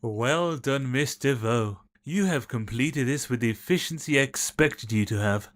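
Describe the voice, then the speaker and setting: An actor playing a formal, businesslike male client in an audio drama, speaking in a measured manner. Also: medium pitch, close to an everyday voice. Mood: cool, satisfied.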